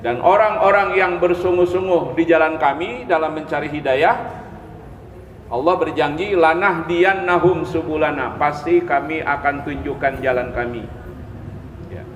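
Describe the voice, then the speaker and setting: A man's voice: fairly high pitch, unhurried, highs cut off. A man giving a religious lecture as an Islamic teacher, explaining step by step, repeating key phrases and checking in with listeners. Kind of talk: sermon, religious lecture to a study group. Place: mosque hall, live religious gathering. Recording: echoey hall with long reverb, background noise.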